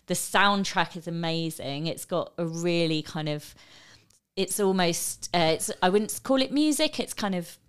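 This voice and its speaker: A woman's voice: lowish voice, animated, unhurried, smooth. A woman co-hosting a podcast, chatting enthusiastically about a film, with lots of fillers and restarts. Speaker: podcast co-host. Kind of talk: casual film discussion.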